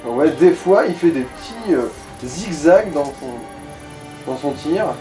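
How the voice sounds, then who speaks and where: A man's voice: on the high side, lively intonation, slow pace, over music. A man giving casual game commentary as a companion, in informal, slangy and mildly crude language. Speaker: let's play co-commentator.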